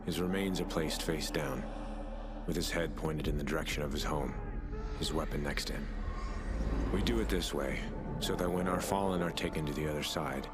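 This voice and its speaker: An actor playing a brooding young special forces soldier, speaking in grave, terse phrases as in dramatic game narration. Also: male voice, steady tone, moderate pace. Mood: solemn, determined. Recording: loud music and rumbling behind.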